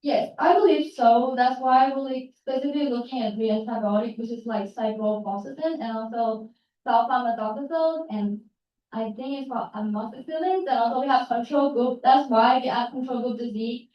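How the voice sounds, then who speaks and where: A young woman from Thailand, giving a short student research talk and answering questions, halting and searching for words. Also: fairly high pitch, steady tone, slight background noise. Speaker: student presenter. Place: large campus hall. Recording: echoey hall, distant, dull.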